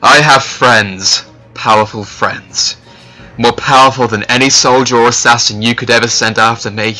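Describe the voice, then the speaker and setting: A man playing a protective, defiant dragon father in an animated fantasy, with an earnest, strained delivery. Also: medium pitch, moderate pace, faint music behind, low-quality recording. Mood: defiant, threatening. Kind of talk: animated fantasy drama acting.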